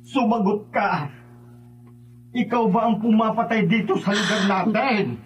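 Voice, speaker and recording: A man's voice: sits high, a little slow, muffled recording. An actor playing a suspicious, anxious older father in a radio horror drama, speaking urgently and stammering when startled. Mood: accusing.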